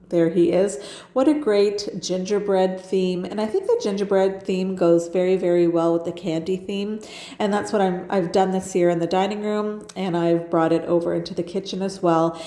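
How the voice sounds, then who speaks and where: A woman's voice: medium pitch, warm tone, echoey room. A woman with a stuffed-up nose from a cold, chatting enthusiastically as she narrates her home decorating. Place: home kitchen.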